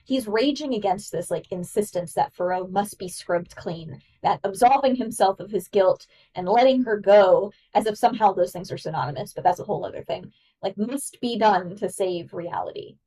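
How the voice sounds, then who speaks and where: A woman's voice: mid-range voice, moderate pace, few pauses, mellow, breathy edge, far from the mic, poor audio. A woman guest-hosting a podcast, talking through ideas in an eager, analytical way with lots of fillers. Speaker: guest host. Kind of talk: casual podcast discussion.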